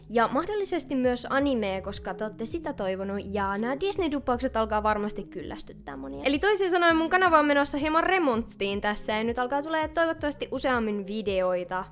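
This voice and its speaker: A woman's voice: high-pitched, lively intonation, few pauses, faint music behind. A young woman in her twenties chatting casually as a YouTuber who makes fan dubs. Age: 20s. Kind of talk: casual vlog chat. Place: home kitchen, indoors. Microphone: large studio mic close to the face.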